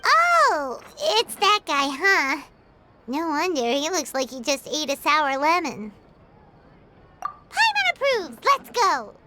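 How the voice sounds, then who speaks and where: A woman's voice: sits high, huge pitch swings, slow, pushed and bright, faint music behind, full character voice. A woman voicing a tiny floating fairy-like companion in a video game, bubbly and chatty, talking about herself in the third person. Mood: chipper.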